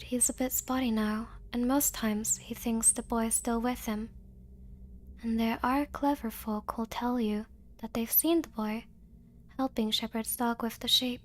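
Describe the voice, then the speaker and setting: A woman with a high voice reads a story in ASMR style. She takes her time and pronounces each word with care. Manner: slow careful pronunciation when reading. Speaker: VTuber ASMR performer. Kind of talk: ASMR storytelling and playful chat.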